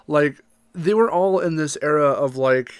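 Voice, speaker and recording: Man, podcast co-host, fairly high-pitched, dry close sound, informal and enthusiastic chat. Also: a little slow, clean tone, no echo. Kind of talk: casual chat about games and movies.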